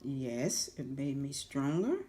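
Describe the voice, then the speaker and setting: A woman answering interview questions in plain, brief, measured replies. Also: extremely low pitch, expressive, mellow.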